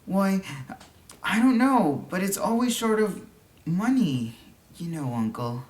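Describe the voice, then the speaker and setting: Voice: mid-range voice, animated, slow, darkish tone, voice pushed up. A narrator voicing an anxious, intense young boy with an earnest, fidgety manner in a storybook reading. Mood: agitated, fidgety.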